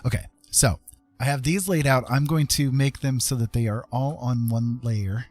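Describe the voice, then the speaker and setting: A man's voice: mid-range voice, steady tone, moderate pace. A man hosting a casual art livestream, playful and self-deprecating, chatting to viewers and muttering to himself as he works. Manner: muttering to himself while working. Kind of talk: casual livestream chat while demonstrating digital art. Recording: soft background music under the voice. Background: soft music.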